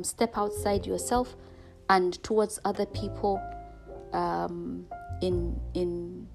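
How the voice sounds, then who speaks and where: A woman guest speaking in a steady, calm manner. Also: mid-range voice. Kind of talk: reflective interview answer.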